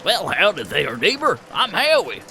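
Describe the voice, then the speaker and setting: A man's voice: high voice, wildly swooping pitch, normal speed, vocal fry, sharp tone, soft background music, full character voice. Howie, a man doing a folksy cheerful hobo. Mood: easygoing. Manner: drawling, easygoing country talk.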